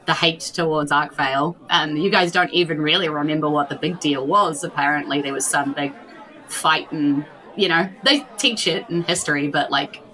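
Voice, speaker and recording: A woman's voice: deep voice, moderate pace, sharp tone, some chatter behind, low-quality recording. A woman with a New Zealand accent narrating a tabletop roleplay game as game master in a chatty, informal way.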